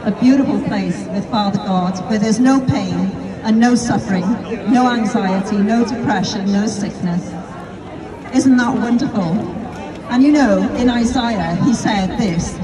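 A woman's voice: mid-range voice, measured pace. A middle-aged British woman preaching in the open air on a shopping street, earnest and full of rhetorical questions, into a handheld microphone through a speaker. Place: busy pedestrian shopping street outdoors. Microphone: handheld mic through a street speaker. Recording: distant, outdoor street with crowd noise. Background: crowd of shoppers.

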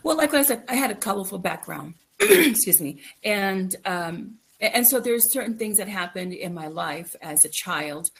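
A middle-aged woman from the Philippines giving a candid, reflective personal account as an interview guest, halting and repeating phrases for emphasis. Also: medium pitch, animated. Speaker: guest, author. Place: indoor room, video call. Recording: noisy video call.

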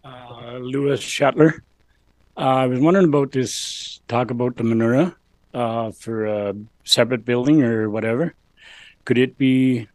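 A man asking an informal question as a member of the public, hesitant and halting in a folksy way. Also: medium pitch, a little mellow, no room sound, poor audio. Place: council meeting room.

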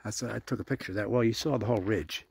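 A man casually narrating his hike to a handheld camera outdoors on a mountain trail, chatty and unhurried, winded at times from the climb. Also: fairly low pitch, gravelly, darkish tone, husky. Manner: chatty, unhurried, reads signs aloud. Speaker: hiking vlogger.

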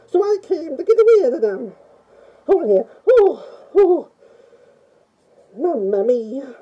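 A woman's voice: high-pitched, very animated, slow, dull audio, heavily acted. A woman playing a timid, easily scared Italian plumber man with a put-on Italian accent, rambling nervously through a game review with many "oh"s. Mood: startled. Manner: nervous, rambling, frequent "oh" and "mamma mia". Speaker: fan playing a video game character.

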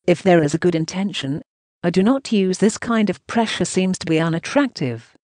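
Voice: mid-range voice, moderate pace, darkish tone, dry recording. A synthetic text-to-speech voice reading translated news narration in a choppy way, speaking punctuation marks aloud.

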